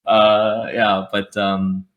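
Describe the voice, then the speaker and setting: A man's voice: lowish voice, little pitch movement, very slow, narrow, tinny audio, slight background noise. A young man, a podcast guest and streamer, tells rambling, self-deprecating stories over a headset on a video call from his home room. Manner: rambling, self-deprecating, often restarts sentences. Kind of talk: casual storytelling on a video call. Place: home room with gaming chair.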